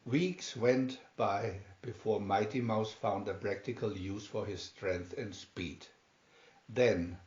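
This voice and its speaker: A man reading a children's story aloud in a deliberate, dramatic storytelling manner. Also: on the low side, a little mellow. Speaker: storybook reader. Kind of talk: scripted children's story narration, read aloud. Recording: roomy, slightly dull home recording.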